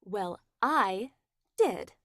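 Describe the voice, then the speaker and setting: A woman's voice: medium pitch, expressive, very slow, a bit bright, no echo, studio quality. A woman playing a scheming, coaxing, greedy young wife in a theatrical 1940s-style radio horror play. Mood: brisk, scheming.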